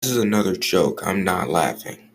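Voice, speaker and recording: A man playing a stern, jealous, irritable office boss in an audio roleplay, curt and guarded, with a gravelly voice. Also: low voice, expressive, normal speed, full character voice. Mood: curt, defensive. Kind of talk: scripted audio roleplay acting.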